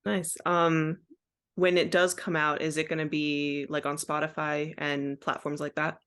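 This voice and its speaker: An interviewer with an Ohio accent and a mid-pitched, gravelly voice. They ask casual questions and react with short friendly remarks. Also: flat delivery, measured pace, a bit bright.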